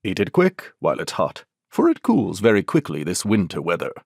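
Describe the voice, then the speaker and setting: A woman's voice: very deep voice, wildly swooping pitch, normal speed, creaky, a little mellow, no echo, clean studio sound, pitched below the performer's own voice, exaggerated voice. A woman voicing a quirky, self-important, hospitable mountain hermit in a storybook, with a solemn, declamatory manner. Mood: pleased. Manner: solemn, pompous, declamatory, sing-song in verse.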